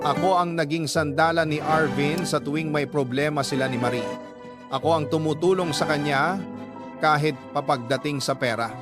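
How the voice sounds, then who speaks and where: A woman's voice: sits low, moderate pace, lightly acted. Actress playing a determined filipina lover telling her love story, earnest and confessional, music behind. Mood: wistful, determined. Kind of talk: dramatic first-person narration.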